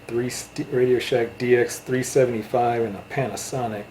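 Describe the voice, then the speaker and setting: A man in a casual interview chat, reminiscing in a halting way. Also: mid-range voice, steady tone, darkish tone, slight background noise. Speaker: interviewer, channel host.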